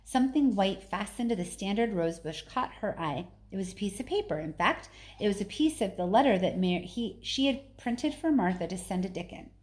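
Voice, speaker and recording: Female storybook narrator, mid-pitched, expressive read-aloud delivery of a children's novel. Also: brisk, few pauses. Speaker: story reader.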